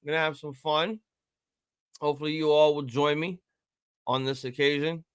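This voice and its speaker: A man in his thirties hosting a live-reaction stream, chatting casually and rambling with profanity and sudden loud excited outbursts. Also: a little high, normal speed, clear, resonant tone, dry recording, studio quality. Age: 30s. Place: home room at a table.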